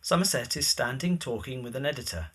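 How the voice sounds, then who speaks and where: A man narrating a period audio drama from a script, describing the scene in a husky voice. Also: medium pitch, fast, fairly bright tone.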